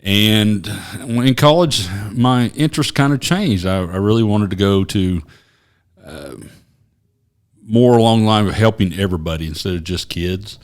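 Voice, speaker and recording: A man in his forties from Oklahoma, a retired police officer, telling his story as a podcast guest in a small office studio, rambling and halting with many fillers. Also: lowish voice. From Oklahoma, USA. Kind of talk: casual interview storytelling.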